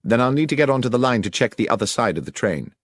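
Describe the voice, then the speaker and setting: A man voicing a brisk, courteous gentleman detective with a formal, precise and commanding manner, as in an audiobook. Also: mid-range voice, very animated, no echo, studio quality.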